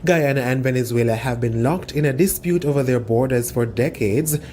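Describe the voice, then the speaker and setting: A man reading scripted business news in a measured, formal way. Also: medium pitch, mellow, slight background noise. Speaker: news reader.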